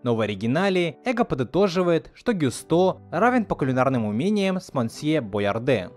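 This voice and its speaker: Animated man narrating a video essay. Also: on the high side, moderate pace, faint music behind. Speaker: video host.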